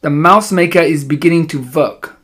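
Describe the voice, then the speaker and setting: A man voicing a theatrical, gloating villainess witch in an audiobook, with slow, drawn-out, relishing delivery. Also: a little high, a bit bright, breathy edge. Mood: gloating, scornful. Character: Grand High Witch, gloating theatrical villainess, syrupy then shrieking. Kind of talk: audiobook character voice.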